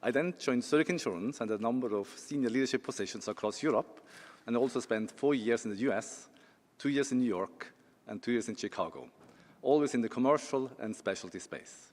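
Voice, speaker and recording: A man's voice: medium pitch, fairly quick. A man with a Swiss accent giving a corporate presentation as an insurance executive, with some dry humor and a few fillers. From Switzerland. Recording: long hall reverb.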